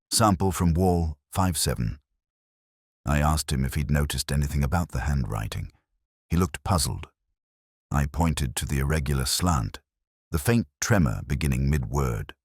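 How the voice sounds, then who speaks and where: A man playing a melancholy, sceptical English police inspector, narrating his case in a measured, literary first person for an audio drama. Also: rumbling low, no echo, studio quality. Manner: measured, ruminative, literary turns of phrase.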